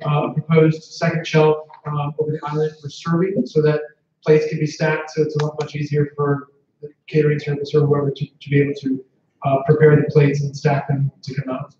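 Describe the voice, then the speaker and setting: A man explaining building plans at a town hall meeting, halting with fillers and restarts. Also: on the high side, little pitch movement, a little mellow. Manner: hesitant, many fillers and restarts. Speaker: project designer. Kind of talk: technical answers at a meeting. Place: large indoor meeting hall. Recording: echoey hall, distant, dull, background hum.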